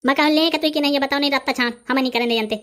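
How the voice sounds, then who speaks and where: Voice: high voice, fairly even intonation, rapid-fire, a bit bright. An actor with a Bundelkhandi accent voicing a brash, argumentative village man haggling in a comedy cartoon. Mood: brash, impatient.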